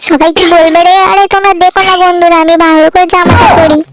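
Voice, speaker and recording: A tiny cartoon mouse with an extremely high, childlike squeak. It chatters cheekily and playfully, always sounding like a little schemer. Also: steady tone, fast talker, heavy background noise. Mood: sleepy grumbling. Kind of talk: cartoon dub.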